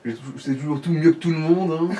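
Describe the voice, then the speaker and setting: A man's voice: on the high side, brisk, mellow. A man chatting casually and giving advice as a companion during a video game. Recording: distant mic, faint background noise.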